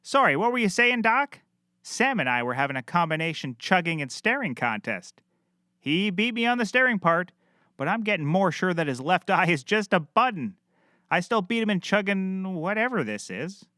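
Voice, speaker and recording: A man playing a bumbling, oblivious, excitable private detective in a scripted audio comedy, melodramatic and cheerfully clueless. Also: high voice, normal speed, no echo, studio quality, full character voice.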